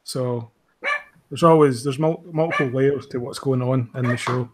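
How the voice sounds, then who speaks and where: A man answering casually as a creator guest on a podcast, in a measured, matter-of-fact manner. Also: mid-range voice, fairly even intonation. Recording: clearly noisy recording with clicks.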